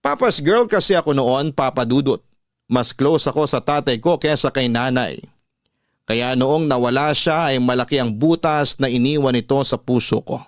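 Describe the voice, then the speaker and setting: A man with a Filipino accent reading a personal story as a radio host, in measured, sentimental dramatic narration. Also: medium pitch, no echo, studio quality. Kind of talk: dramatic scripted narration of a letter.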